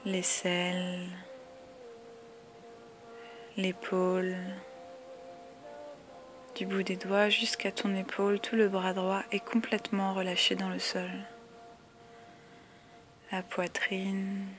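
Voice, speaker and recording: A woman guiding a yoga relaxation meditation with calm, soothing, measured instructions and long pauses. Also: medium pitch, background noise. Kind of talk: guided meditation, slow spoken body-scan instructions. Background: music.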